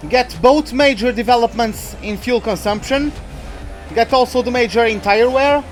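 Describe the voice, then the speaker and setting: Chatty high-voiced young man, Portuguese native. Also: moderate pace, soft background music. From Portuguese-speaking country. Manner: rambling, chatty, many fillers and restarts, self-mocking. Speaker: gaming YouTuber. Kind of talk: casual let's-play commentary.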